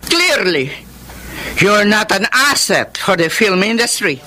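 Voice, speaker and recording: An actress playing a harsh, demanding female film company boss in a Filipino radio drama, with a Filipino accent and an imperious, sharp manner. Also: medium pitch, sing-song, slow pace, full character voice. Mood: scolding. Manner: imperious, sharp, switches between Tagalog and English. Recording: music bed under the voice.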